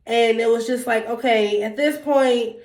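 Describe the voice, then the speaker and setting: A woman's voice: on the high side, fairly even intonation, unhurried, a little mellow. A young woman with a stuffy nose from a cold, talking candidly to her viewers in a rambling, casual way with lots of ums and restarts. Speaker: YouTuber. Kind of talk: casual sit-down talk to camera. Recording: echoey room.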